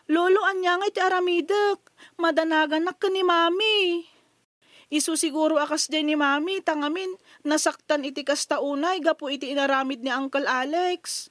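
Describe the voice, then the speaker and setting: Very high, worried child. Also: female voice, fairly even intonation, moderate pace, a bit bright, no room sound, studio quality. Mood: worried, pleading. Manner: anxious, pleading. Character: worried young child pleading to grandfather. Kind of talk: old radio drama acting in Ilocano.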